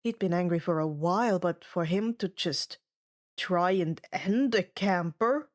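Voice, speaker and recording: A woman playing a jaded, sardonic male god in an audiobook, with a deadpan drawl. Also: on the low side, a little slow, pitched below the performer's own voice, lightly acted. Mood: deadpan, weary.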